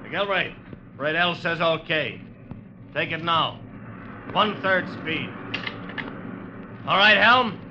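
An actor playing an anxious, blunt, dutiful junior ship's officer in a vintage radio drama, speaking in clipped phrases. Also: male voice, on the high side, slow, long pauses. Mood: brisk, tense. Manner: clipped, crisp reporting. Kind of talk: 1940s-50s radio adventure drama acting. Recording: noisy, dull vintage radio recording.

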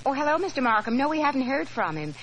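A woman's voice: sits high, big pitch swings, fast talker. A woman playing a courteous, helpful office secretary in a 1940s radio drama. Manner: courteous, attentive. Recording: noisy vintage 1940s radio recording.

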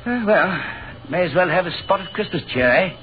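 A hearty, high-voiced lieutenant. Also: male voice, lively intonation, a little fast. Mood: welcoming, jovial. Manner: breezy, blustery officer slang. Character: hearty, slangy, good-natured Australian army lieutenant. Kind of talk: 1930s radio drama acting. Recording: dull, noisy 1930s radio recording.

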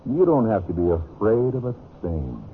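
An actor playing a hearty, insistent cabin host in a mid-century radio drama, with an American accent and a jovial, coaxing manner. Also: male voice, lowish voice, very animated, normal speed. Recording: noisy, narrow-band old radio recording.

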